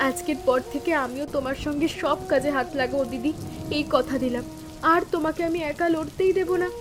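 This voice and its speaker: A woman playing a timid, grateful younger sister-in-law in an animated family drama, speaking in an earnest, emotional, deferential way. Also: high-pitched, brisk, close to an everyday voice. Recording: music bed with rain sounds.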